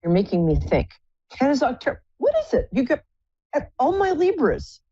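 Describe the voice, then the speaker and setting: A woman with a low contralto voice hosting a live psychic call-in show, chatty and effusive, calling callers pet names. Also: huge pitch swings, normal speed, dark tone, breathy edge, no room sound, lo-fi sound. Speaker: psychic radio host.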